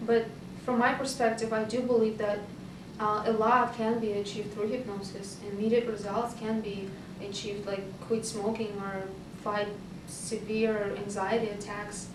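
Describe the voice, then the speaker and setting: A woman's voice: mid-range voice, little pitch movement, moderate pace, hardly any pauses. A woman from Russia with a Russian accent, a hypnotist answering interview questions thoughtfully with hesitant fillers. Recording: echoey room, far from the mic, noisy.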